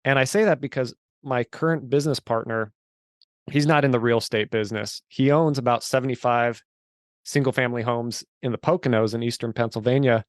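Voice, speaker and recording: A man's voice: mid-range voice, steady tone, brisk, no echo, studio quality. A man of forty, a guest in an interview, telling his story in an earnest, emphatic way. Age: 40s. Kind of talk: casual interview chat.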